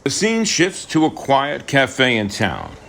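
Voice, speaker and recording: A man's voice: medium pitch, wildly swooping pitch, takes it slowly, creaky, fairly bright tone, slight background noise. A man narrating a scripted radio drama, setting scenes and introducing characters.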